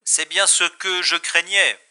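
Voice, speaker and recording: A man plays a polite, confident investigator in a sci-fi audio drama. His voice is very bright and crisp-edged, pitched on the high side. He speaks formally and with assurance. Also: fairly even intonation, a little slow, full character voice. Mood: businesslike. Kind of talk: science-fiction audio drama acting.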